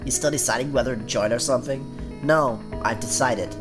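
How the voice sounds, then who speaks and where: A man's voice: mid-range voice, brisk. Young man with a stuffy cold, music behind. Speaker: gaming YouTuber. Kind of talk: casual let's-play commentary. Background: background music.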